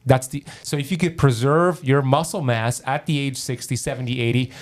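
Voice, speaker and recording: Guy explaining metabolism stuff on a podcast, keen and teachery, normal pitch. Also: fairly even intonation, normal speed. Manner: enthusiastic, teacherly, gives examples. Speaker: podcast guest, health author. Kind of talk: explanatory interview talk.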